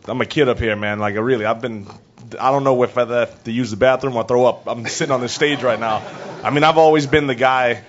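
A young man answering questions at a press conference, rambling and excited, full of restarts. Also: medium pitch, brisk. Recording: dull lo-fi press audio with faint noise.

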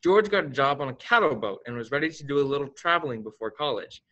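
A man's voice: mid-range voice, animated, quick pace, fairly bright tone, lo-fi sound, lightly acted. A young man playing a fond storyteller, narrating a radio play expressively. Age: young adult. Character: story-telling guide, recounting a life fondly.

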